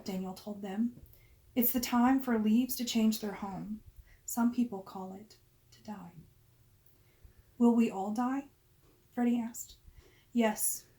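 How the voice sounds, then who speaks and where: A woman reads a storybook aloud, dialogue and all. Her voice sits a little high, with a soft, mellow tone. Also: low-quality recording. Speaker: amateur reader, choir member.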